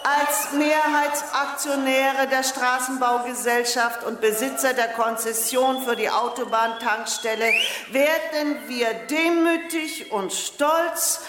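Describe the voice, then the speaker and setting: A pompous lady dignitary speaks high and slowly, halting and repeating herself grandly. It is a condescending ceremonial address from a radio play, with long echoes ringing around her over a crowd. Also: fairly even intonation, noisy background. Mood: pompous, self-satisfied. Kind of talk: theatrical public speech in a radio play. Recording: echoing public-address hall sound with crowd.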